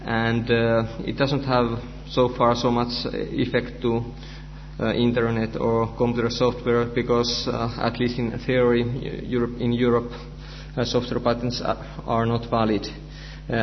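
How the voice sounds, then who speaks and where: A man with a Finnish accent, a native Finnish speaker, giving a conference lecture in halting speech with many fillers and restarts. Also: mid-range voice. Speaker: conference presenter, researcher. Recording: dull, reverberant hall recording with faint hum.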